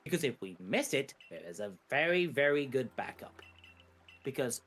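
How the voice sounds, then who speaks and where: A man's voice: mid-range voice, sing-song, normal speed, a bit bright, slight background noise. A man giving casual, jokey live commentary on a speedrun, often repeating and rephrasing himself.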